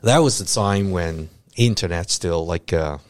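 A man in his fifties, raised in Canada after a Hong Kong birth, talking as a guest with many pauses and restarts. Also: sits low, no room sound. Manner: hesitant, many fillers and restarts. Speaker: insurance executive, guest.

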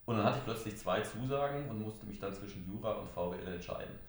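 A middle-aged man from Germany giving halting, reflective, conversational interview answers. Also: fairly low pitch. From Germany, near Mainz. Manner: halting, reflective, with frequent hesitations. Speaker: interviewee, member of parliament and IT entrepreneur. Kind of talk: conversational interview answers, recounting own career. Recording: very echoey, reverberant room.

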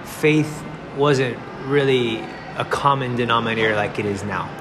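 A young male podcast host of middling pitch who talks in an eager, chatty way and keeps the tone casual. Clear background noise runs under his voice throughout. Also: unhurried. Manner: eager, chatty, addresses guest as bro and man. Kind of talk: casual interview chat.

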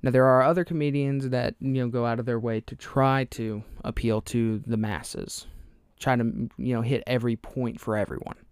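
A man's voice: medium pitch, steady tone, normal speed, smooth, no echo, studio quality. A young man with a fairly neutral American accent, hosting his podcast alone, chatting casually and rambling off topic with fillers and small laughs. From Alabama. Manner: rambling, self-deprecating, loses track. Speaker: podcast host. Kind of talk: solo rambling podcast monologue.

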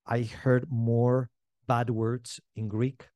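Man, mid-pitched voice, casual interview storytelling, dry recording. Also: flat delivery, extremely slow, warm tone, no room sound. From Spain. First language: not English. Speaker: podcast guest, entrepreneur.

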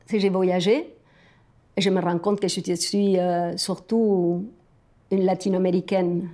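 A woman's voice: on the low side, level tone, a little slow, warm tone. A woman from Argentina, a native Spanish speaker with an Argentine accent, talks reflectively and personally to a companion, often searching for words.

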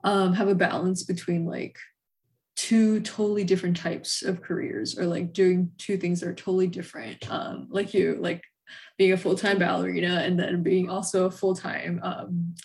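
A young woman hosting a casual interview, talking in a halting, conversational way peppered with "like". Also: lowish voice, level tone, vocal fry, darkish tone. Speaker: podcast host, interviewer.

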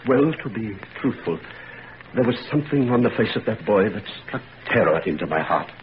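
An actor playing a solemn, sympathetic older family friend, speaking in a measured, earnest way, in 1940s radio acting style. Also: male voice, lowish voice, lively intonation, lightly acted. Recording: dull, hissy old radio recording.

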